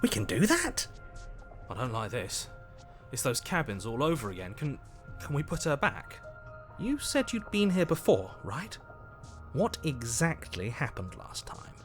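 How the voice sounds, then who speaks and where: A man's voice: medium pitch, wildly swooping pitch, unhurried, faint music behind. A man voicing a jittery, questioning inner voice in a horror game, nervous and hesitant. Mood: anxious.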